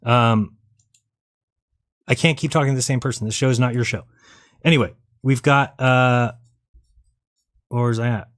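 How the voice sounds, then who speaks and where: A man's voice: medium pitch, steady tone, normal speed, pauses often, a bit bright. A man hosting a live online show in a casual, rambling and blunt way, thinking aloud as he goes. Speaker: host of a live online debate and call-in show. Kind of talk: casual, rambling livestream chat, sometimes reading viewer comments.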